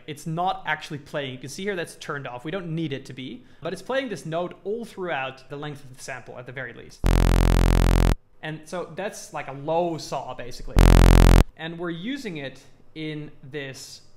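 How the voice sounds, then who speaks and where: A man's voice: fairly high pitch, expressive, normal speed, over loud music. Enthusiastic man giving a casual tutorial. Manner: enthusiastic, informal. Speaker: music production tutorial host.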